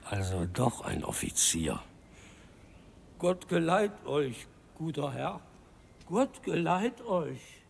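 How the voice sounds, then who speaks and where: Boozy old russian beggar type, super creaky, wheedling, swings up and down, radio play. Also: male voice, fairly high pitch, big pitch swings, slow pace, heavily acted. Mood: knowing, resigned. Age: older. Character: tipsy, wheedling, insistent old man in Tsarist Russia.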